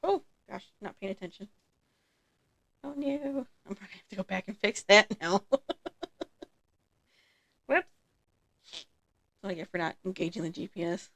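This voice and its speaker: A woman streaming a farming game, chatting casually through her gameplay in a relaxed, rambling way, a little congested from allergies. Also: mid-range voice, moderate pace, pauses often. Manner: relaxed, chatty, trailing off with ums.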